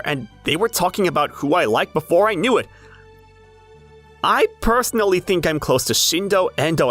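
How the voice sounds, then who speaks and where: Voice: mid-range voice, a bit bright. A performer playing an airheaded, easygoing teenage schoolboy, narrating in a self-deprecating anime dub style.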